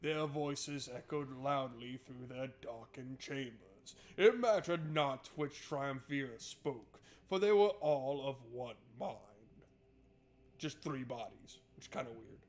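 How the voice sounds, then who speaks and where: A man's voice: medium pitch, lively intonation, slow. A man doing casual, joking playthrough commentary and reading game narration aloud in an informal way. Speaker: game streamer.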